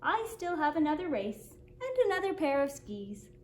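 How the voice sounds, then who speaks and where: A woman's voice: high-pitched, sing-song, moderate pace. A woman voicing a gracious, good-natured male skier in a children's storybook, with an easygoing, appreciative way of talking. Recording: roomy echo, faint background noise.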